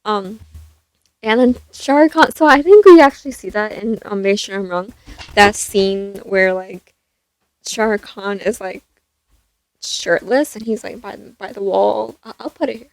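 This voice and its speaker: A young woman with a soft voice chatting casually about a film, rambling with lots of fillers and restarts. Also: mid-range voice, measured pace, low-quality recording. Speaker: vlogger reviewing a film. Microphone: stand mic.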